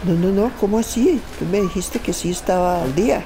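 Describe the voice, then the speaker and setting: A woman's voice: fairly low pitch, a little fast, noisy background. A middle-aged woman telling a personal story informally and emphatically, acting out remembered conversations. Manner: emphatic, acts out remembered dialogue. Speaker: interview guest telling a travel story.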